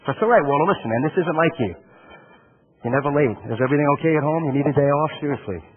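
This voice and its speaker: A man with an Alabama Southern accent playing his calm, concerned flight commander. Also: quick pace, husky, phone-quality sound. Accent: Alabama Southern American. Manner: calm, concerned, low-key. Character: gruff but caring male flight commander.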